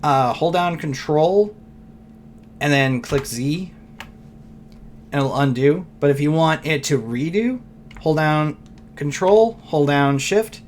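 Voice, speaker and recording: A man who is losing his voice talks loosely through a software tutorial, rambling and thinking aloud with fillers and throat clearing. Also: on the high side, slow, pauses often, slight background noise. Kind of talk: casual screen-recorded tutorial.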